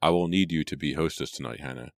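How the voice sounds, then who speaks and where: A man's voice: a bass voice, quick pace, gravelly, no echo, clean studio sound. A man voicing an impatient, stubborn, stern father in a storybook, curt and commanding. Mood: impatient, sharp.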